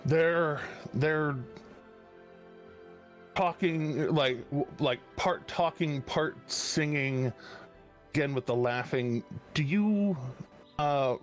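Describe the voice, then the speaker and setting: Slow, halting man running a game. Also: fairly high pitch. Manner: slow, halting, many restarts and fillers. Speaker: game master. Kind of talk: narrating a tabletop game.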